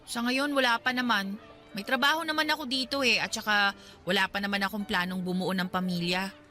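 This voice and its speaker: A woman's voice: mid-range voice, fairly even intonation, a little fast, pushed and bright, faint music behind, natural voice. A woman playing a friendly young woman chatting with an old childhood pal in a radio drama. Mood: curious, casual. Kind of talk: Tagalog radio drama dialogue.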